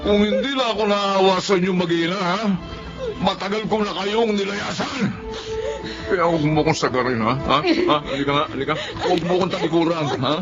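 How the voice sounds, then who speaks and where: An actor playing a drunken, abusive Filipino husband in a radio drama, berating with taunting questions. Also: male voice, high voice, expressive, moderate pace. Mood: furious, threatening. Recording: muffled recording under loud music.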